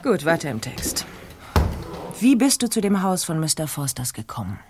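An actress playing a sharp, skeptical police detective questioning a suspect in a radio play. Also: female voice, deep voice, sing-song, moderate pace, noisy background. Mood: stern.

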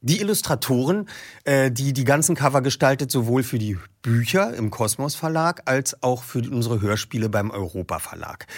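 Eager male podcast host. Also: medium pitch. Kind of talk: casual interview and book reading.

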